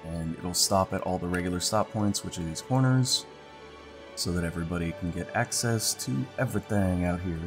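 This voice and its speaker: Deep-voiced congested man over game music. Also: normal speed. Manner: relaxed, thinking aloud, repeating words as he clicks. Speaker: let's play host. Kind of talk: casual gameplay commentary. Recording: background music under voice.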